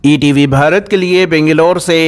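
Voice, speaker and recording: A man reading a scripted news report in a formal, measured way, as a reporter. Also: a little high, steady tone, dry recording, faint music behind.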